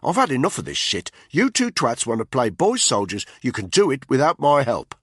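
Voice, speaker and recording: A man's voice: mid-range voice, huge pitch swings, a little fast, a bit bright, breathy edge, no room sound, studio quality, pitched above the performer's own voice, exaggerated voice. A man voicing a scornful, hostile male soldier who sneers and swears, in an audiobook reading. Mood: sneering.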